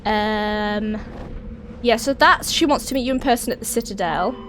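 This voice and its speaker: A woman with a cold and a raspy, hoarse voice, chatting casually as she plays a video game and thinks aloud. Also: mid-range voice, moderate pace, poor audio. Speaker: let's play host. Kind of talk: casual gameplay commentary. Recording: voice over steady game engine noise.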